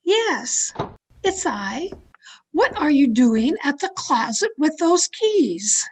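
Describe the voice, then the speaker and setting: A woman's voice: sits high, very animated, slow, a little mellow, a little hiss or hum, heavily acted. A woman playing an anxious, guarded mystery novelist in an old-time radio drama, with a formal, theatrical delivery. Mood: sharp, commanding. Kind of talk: old-time radio drama acting, first-person suspense narration. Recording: remote video-call recording with music behind.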